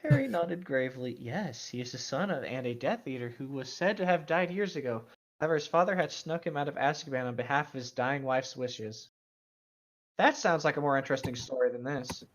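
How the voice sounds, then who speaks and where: A man's voice: mid-range voice, animated, a little fast, muffled recording. A man co-hosting a casual fan fiction read-aloud, slipping between mock dramatic reading and sarcastic, slangy asides.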